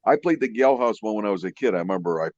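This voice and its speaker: A man's voice: lowish voice, lively intonation, racing, darkish tone. A middle-aged man chatting casually as a podcast co-host, agreeing enthusiastically and repeating himself. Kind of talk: casual chat. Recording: dull, roomy lo-fi mic.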